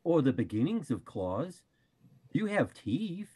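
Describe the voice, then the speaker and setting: A man acting a wise, patient panda bear in a play reading, measured and instructive. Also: a little high, sing-song, dark tone, husky, exaggerated voice. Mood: reassuring.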